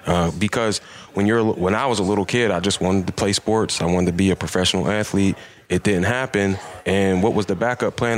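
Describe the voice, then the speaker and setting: Thirties male guest, lower register, sincere small-business owner in casual chat. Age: 30s. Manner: earnest, reflective, repeats words for emphasis. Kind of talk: casual podcast conversation.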